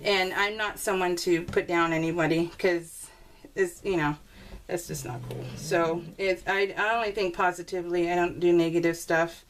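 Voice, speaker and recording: A woman's voice: on the low side, steady tone, normal speed, faint music behind. A woman chatting casually to her viewers in a craft video, rambling and often interrupting herself in a giggly way. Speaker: craft video maker showing scrapbook pages. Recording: clearly noisy home recording with clicks.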